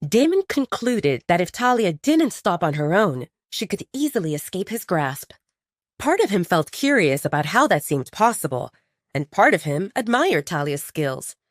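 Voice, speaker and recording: A woman reading a romance story as scripted audiobook narration, in an expressive, dramatic storytelling manner. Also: fairly low pitch, moderate pace, no echo, clean studio sound.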